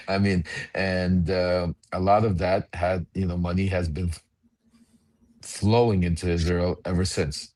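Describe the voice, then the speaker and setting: A middle-aged man from the former Soviet Union speaks in a very low voice, close and dry, with no room around it. He rambles on a video call, restarting sentences and correcting himself as he goes. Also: a little mellow, dry recording. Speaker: panel guest. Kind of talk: casual discussion.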